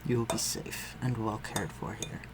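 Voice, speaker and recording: A man playing an aristocratic vampire master in a scripted audio roleplay, speaking formally and with measured, condescending concern for the listener. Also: lowish voice, noisy background, close to an everyday voice. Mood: reassuring, protective. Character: aristocratic male vampire master, civil, protective, coolly superior.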